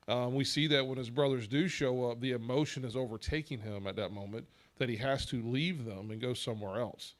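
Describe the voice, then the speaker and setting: A man leading a church Bible discussion in an earnest, measured way, asking rhetorical questions. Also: mid-range voice.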